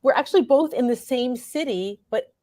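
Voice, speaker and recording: An American woman speaking reflectively as an interview guest, thoughtful and halting as she searches for her words. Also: high voice, animated, dark tone, dry recording, clean studio sound. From New York state, United States. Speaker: interview guest, playwright and performer.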